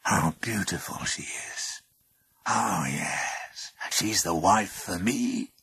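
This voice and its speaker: A possessive male king of the underworld from a storybook, as a man acts him out. He is lovestruck and grand, with a husky, creaky voice and an insistent way of speaking. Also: medium pitch, sing-song, measured pace, a bit bright, no echo, voice pushed up, exaggerated voice. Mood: smitten murmur. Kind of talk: storybook character voice.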